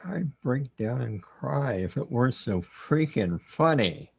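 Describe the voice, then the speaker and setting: A man's voice: medium pitch, animated, a little slow, vocal fry, slightly rough, muffled recording, heavily acted. A man playing a bewildered, resigned hero trapped in the afterlife in a sci-fi radio drama. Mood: exasperated.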